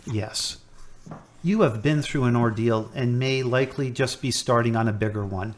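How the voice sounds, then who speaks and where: An actor playing a hospital chaplain who gives calm, measured counsel in an amateur audio drama. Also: male voice, mid-range voice, a little hiss or hum, natural voice. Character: gentle middle-aged male priest.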